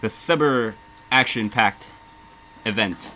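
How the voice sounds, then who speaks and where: A man's voice: lowish voice, slow pace, bright, forceful tone, a little hiss or hum, poor audio. A man hosting a music radio show in casual DJ patter, rambling, joking and self-deprecating. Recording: music playing faintly underneath. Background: faint music.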